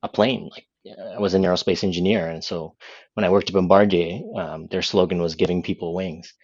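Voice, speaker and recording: A man's voice: sits low, fairly even intonation, fairly quick, a little mellow, slightly rough, dry recording, lo-fi sound. A man from Canada, a podcast guest, explaining his views thoughtfully and at length. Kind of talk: casual conversation.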